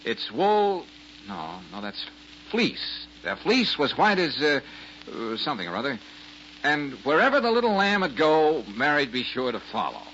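An actor playing an easygoing, sharp male detective in a 1940s-style radio drama, with a halting, folksy delivery. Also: a little high, very animated. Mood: bumbling. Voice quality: halting, folksy, full of uhs. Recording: dull lo-fi old radio broadcast with faint hiss.

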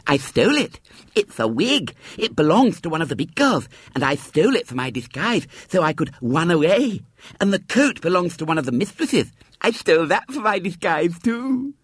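A man voicing a small, shrill, spoilt schoolgirl who is lisping, stubborn and demanding, in a children's storybook reading. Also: sing-song, moderate pace, breathy edge, no echo. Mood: proud.

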